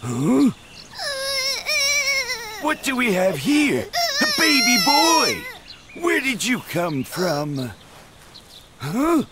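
Slow, animated old grandfather. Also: extremely high pitch, heavy background noise, exaggerated voice. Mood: delighted surprise. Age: older. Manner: cooing, exclaiming, musing aloud. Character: kindly, delighted old hermit grandfather. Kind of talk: animated video game voice acting.

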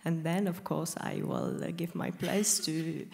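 A young woman from Romania introducing herself as a board candidate at a conference, halting with many pauses and fillers. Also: deep voice, wildly swooping pitch, gravelly, darkish tone. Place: conference lecture hall.

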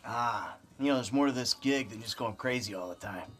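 A man's voice: medium pitch, fairly quick, fairly bright tone, slightly rough, faint music behind. A man playing a weary, sarcastic, short-tempered middle-aged American criminal father in a video game. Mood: irritated. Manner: sardonic, world-weary. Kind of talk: video game cutscene acting.

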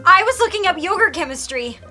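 A young woman playing a chatty high school student who talks quickly and eagerly in a scripted comedy skit. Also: expressive, background noise. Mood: offhand. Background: music bed.

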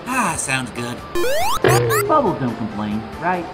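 Cartoon hero, female performer: flippant, impatient young male hedgehog, lowish for a woman, hugely animated. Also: slow, over loud music, exaggerated voice. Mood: cocky. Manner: sarcastic, flippant. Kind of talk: animated comic dub.

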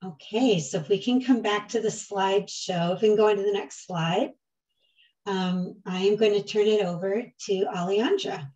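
A woman's voice: medium pitch, moderate pace, darkish tone, far from the mic, dull audio. A woman facilitating an online symposium session on a video call, talking unhurriedly with many fillers.

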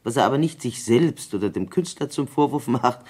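Husky, lively male gossiping commentator. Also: medium pitch, a little fast. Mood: explanatory. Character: articulate gossiping commentator on a critic's private life. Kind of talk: scripted experimental radio play.

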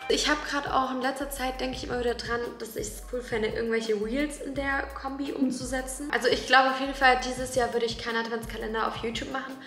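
A woman's voice: sits high, steady tone, fairly quick, hardly any pauses, fairly bright tone. A young woman in her twenties chatting casually to the camera in her apartment, eager and full of slang. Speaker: lifestyle vlogger. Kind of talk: casual vlog talk to camera. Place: bright modern apartment indoors. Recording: roomy apartment sound with music behind.

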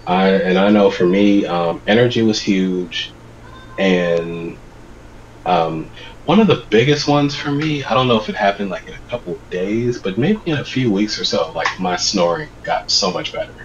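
Deep-voiced man, slightly congested. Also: moderate pace, highs cut off, a little hiss or hum. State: congested from a cold. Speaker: guest. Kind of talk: casual video call chat. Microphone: video call webcam.

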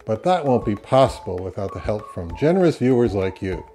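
A man's voice: lowish voice, big pitch swings, fairly quick, mellow, faint music behind. A middle-aged man, around his fifties, giving a conversational product review as the host of an audio gear channel. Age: 50s to early 60s. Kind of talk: conversational, to camera.

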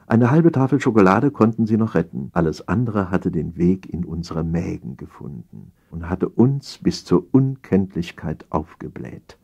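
A man reads scripted satire as an audiobook narrator. His voice is deep and somewhat raspy, and he delivers the lines theatrically with dry comedy. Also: sing-song, moderate pace, few pauses, darkish tone, muffled recording.

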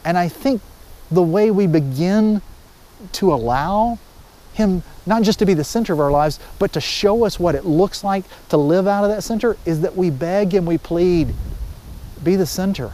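High-pitched mellow man preaching informally. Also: normal speed. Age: middle-aged. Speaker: pastor. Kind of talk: informal sermon. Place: outdoors, under trees by water. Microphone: lapel mic. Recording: faint outdoor background noise.

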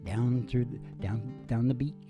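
A middle-aged man hosting a music show with playful, unhurried, rambling stage patter full of joking asides. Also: medium pitch, steady tone, dull audio. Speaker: host of a music and comedy show. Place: small dim curtained stage room. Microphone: stand microphone. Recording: guitar playing behind the voice. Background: acoustic guitar.

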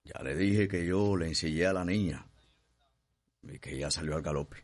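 An actor playing a proud, lovelorn enslaved stable hand in a radio soap opera. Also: male voice, sits low, moderate pace, close to an everyday voice. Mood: guarded.

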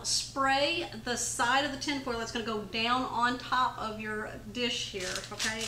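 A woman's voice: high voice, very noisy. A middle-aged woman in her home kitchen, chatting viewers step by step through a casserole recipe. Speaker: home cooking channel host. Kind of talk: casual cooking demonstration.

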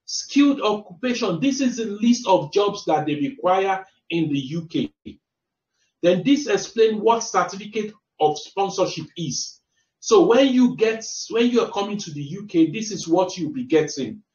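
A man's voice: high voice, lively intonation, normal speed, darkish tone. A man with a Nigerian accent explaining a website to viewers as a careers adviser, restarting and repeating phrases as he goes. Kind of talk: screen-share walkthrough of a website. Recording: distant roomy mic, dull lo-fi sound.

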